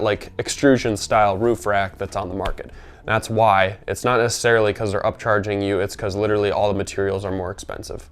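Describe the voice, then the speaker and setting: A man with a gravelly voice, sick and under the weather, chatting casually in a product review vlog. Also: on the low side. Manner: chatty, rambling with fillers. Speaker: vehicle gear reviewer.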